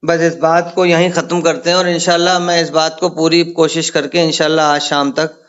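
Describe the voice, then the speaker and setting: Conciliatory high-voiced man on a phone call. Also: level tone, normal speed, a bit bright. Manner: conciliatory, deferential, frequent agreeing. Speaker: caller. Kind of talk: phone conversation. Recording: dull lo-fi phone call recording.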